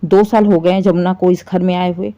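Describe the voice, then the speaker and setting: A woman narrating a scripted family story in an expressive, dramatic and sympathetic way. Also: lowish voice, fairly quick, dull audio.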